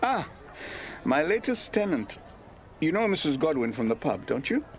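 An actor playing a smooth, scheming village landlord in a radio soap. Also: male voice, a little high, sing-song, normal speed. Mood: affable. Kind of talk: naturalistic radio soap acting.

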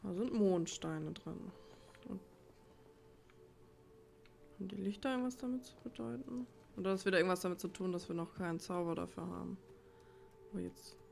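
A woman's voice: lowish voice, fairly even intonation, moderate pace, creaky, long pauses, darkish tone. A woman giving casual gameplay commentary, musing to herself in an informal way. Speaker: let's player. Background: game music.

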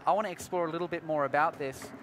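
A man's voice: fairly high pitch, rapid-fire, fairly bright tone. Young guy cohost musing over a bible story, slight hall noise, headset mic. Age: young adult. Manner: reflective, storytelling, with emphatic repeats. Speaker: co-host of a youth Bible panel. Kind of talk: live devotional discussion. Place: large indoor convention hall stage with crowd.

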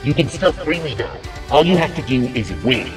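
A man's voice: on the high side, expressive, moderate pace, distant mic, music in the background, close to an everyday voice. A man voicing a weary but loyal captive fighter in a narrated story, earnest and subdued. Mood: urgent, earnest. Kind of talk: acted character in narrated fan story.

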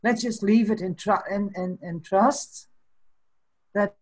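Woman, fifties, Dutch accent, Frisian native, slow deliberate speech, breathy tone, webcam in small room. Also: medium pitch, steady tone, darkish tone. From Netherlands. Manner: slow and thoughtful, breaks into small laughs. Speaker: guest. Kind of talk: casual chat. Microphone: video call webcam.

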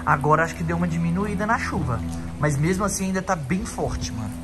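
Excitable young Brazilian man vlogging. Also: a little high, fast, over loud music. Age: young adult. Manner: animated, exclaims a lot, addresses viewers as rapaziada. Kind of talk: excited vlog narration to viewers. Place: inside a car and a parking garage.

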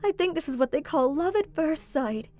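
A woman's voice: high voice, lively intonation, moderate pace, a little mellow, faint music behind. A woman playing a sweet, caring and easily flustered teenage schoolgirl in a manga dub, cutesy and stammering. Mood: lovestruck. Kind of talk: manga dub voice acting, scripted.

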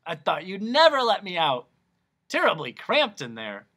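A man's voice: high-pitched, huge pitch swings, normal speed, sharp tone, pitched above the performer's own voice, heavily acted. A man voicing a bellowing male smog monster, childish and moody, who roars at his own puns. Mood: satisfied bellow. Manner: roaring, laughs at own puns.

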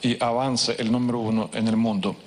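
A man's voice: medium pitch, flat delivery, brisk, a little hiss or hum. A man interpreting consecutively, hesitant with fillers and restarts.

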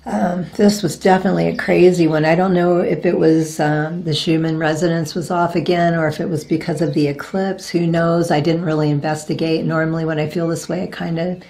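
A woman in her forties with a slightly raspy voice talks casually to camera as a reiki practitioner, rambling and often pausing to search for words. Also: low-pitched, flat delivery, warm tone. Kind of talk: casual spiritual vlog talk. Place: indoors, virtual blossom backdrop.

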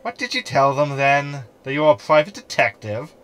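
Sarcastic hologram shipmate, acted by a man, animated delivery. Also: on the high side, moderate pace. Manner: sarcastic, wordy. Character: sarcastic, scheming hologram shipmate, grumbling and mocking. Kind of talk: sci-fi sitcom audio drama acting.

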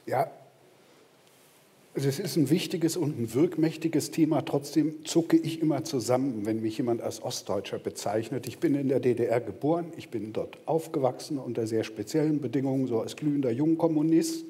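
A middle-aged man speaks as a panel guest on a theater stage. His voice is mellow and dark, and his delivery is measured and articulate. The long reverb of a large hall follows his words. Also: medium pitch. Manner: measured, articulate, occasional fillers.

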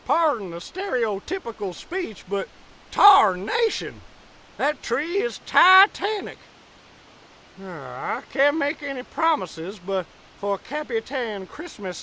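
A man's voice: high voice, sing-song, slow pace, sharp tone, a little hiss or hum, full character voice, low-quality recording. A man playing a rowdy, folksy with an in an audio drama, very theatrical. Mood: amazed, game. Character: Pecos Bill, rowdy folksy cowboy.

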